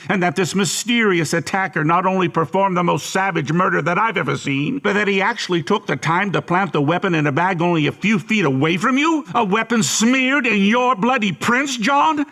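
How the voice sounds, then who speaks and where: A man plays a relentless police interrogator in an audio horror drama. His fairly deep voice is taunting and condescending, with a deliberate, sardonic bullying edge. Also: animated, a bit bright. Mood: accusing, incensed.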